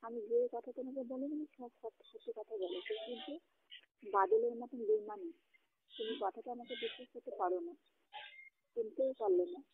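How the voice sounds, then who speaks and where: A young woman arguing on a phone call, indignant and accusing, her voice often jumping high. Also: sing-song, a little fast, gravelly, husky. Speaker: caller in a phone argument. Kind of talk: heated phone argument. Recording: tinny phone call recording.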